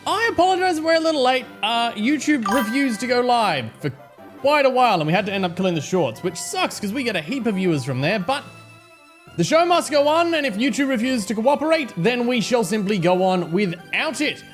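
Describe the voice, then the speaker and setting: A man with an Australian accent and a slightly hoarse voice, chatting casually to viewers on a live game stream and greeting them by name. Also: very high-pitched, very animated, fairly quick, faint music behind. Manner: chatty, greets viewers by name, fretting over technical trouble.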